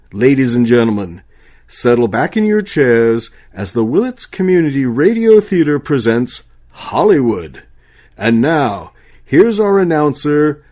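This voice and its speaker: A man announcing a scripted old-time radio show in a formal showman's manner. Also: a little high.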